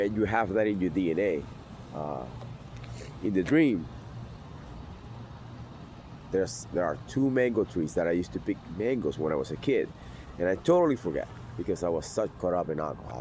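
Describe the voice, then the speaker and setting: Man, 40s, very low voice, blunt and intense, outdoor city, faint background noise. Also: a little mellow. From Venezuela. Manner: blunt, intense, street-tough talk. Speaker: sobriety vlogger. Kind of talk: personal storytelling.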